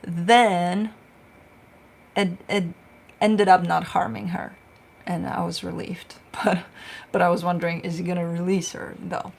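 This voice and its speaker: A woman's voice: fairly low pitch, flat delivery, unhurried, creaky, pauses often. A woman, a tarot reader chatting casually on a livestream, telling stories and answering viewer questions. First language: Romanian.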